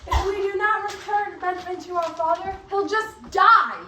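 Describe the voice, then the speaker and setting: A woman's voice: very high voice, normal speed. A woman playing a cheeky, protesting young brother in amateur readers' theatre. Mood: pleading. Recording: distant echoey room, faint noise.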